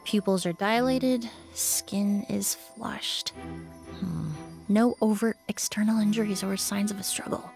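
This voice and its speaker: A woman playing a composed, analytical young investigator in a video game, speaking in a measured, precise, polite way. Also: mid-range voice, steady tone, vocal fry, over music. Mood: pensive.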